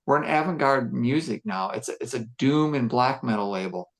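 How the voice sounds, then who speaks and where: Gruff male musician, mid-pitched, unhurried podcast storyteller who circles back and restarts. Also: lively intonation. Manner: reflective, rambling storytelling with fillers and restarts. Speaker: musician discussing his band. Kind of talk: casual podcast chat.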